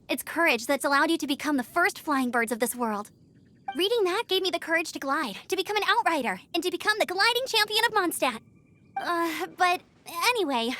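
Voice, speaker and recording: A woman's voice: very high voice, bright, forceful tone, exaggerated voice. A woman playing an eager, upbeat young female knight and gliding instructor in an anime-style video game, with a peppy, earnest delivery. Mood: earnest, encouraging. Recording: music behind the voice.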